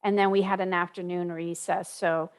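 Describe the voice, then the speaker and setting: A woman's voice: on the low side, flat delivery, moderate pace, clean tone. A woman in her fifties hosting a podcast interview over a video call, asking friendly questions in front of a studio mic. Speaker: podcast host. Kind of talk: casual interview. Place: room with whiteboard. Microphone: studio microphone on video call.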